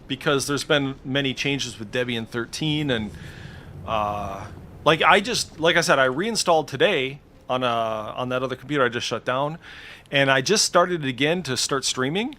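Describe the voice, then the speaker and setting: A man chats casually through a game add-on demo. His voice is bright and forward, his manner informal and profane, and some faint noise sits behind him. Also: mid-range voice. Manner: informal, swears freely. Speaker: streamer demonstrating game add-ons. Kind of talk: casual screen-share commentary.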